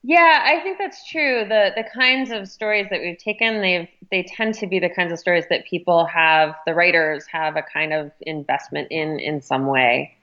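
A woman with a notably low voice talks as an interview guest. She explains her editorial work in a thoughtful, conversational way. The sound is a little dull and muffled. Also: moderate pace, few pauses, pushed and bright.

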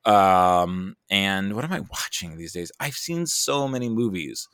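A man's voice: low-pitched, animated, measured pace, a bit bright, clear, resonant tone, no room sound, studio quality. A man chatting casually as a podcast guest, rambling and self-deprecating, sounding a bit tired. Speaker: podcast guest, writer and creative producer. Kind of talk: casual chat.

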